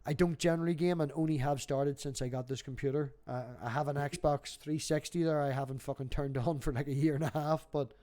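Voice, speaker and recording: Chatty, higher-voiced man. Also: fairly even intonation, fairly quick, hardly any pauses, mellow. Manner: chatty, sweary, anecdotal. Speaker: livestream host. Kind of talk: casual livestream chat.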